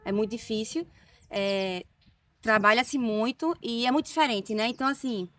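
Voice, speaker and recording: A woman's voice: a little high, moderate pace, a bit bright, dry recording, distant mic. A woman from Recife with a Pernambuco accent, a nurse talking as a guest in a casual interview, chatty with tag questions and restarts. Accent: Pernambuco Brazilian Portuguese. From Recife, Northeast Brazil.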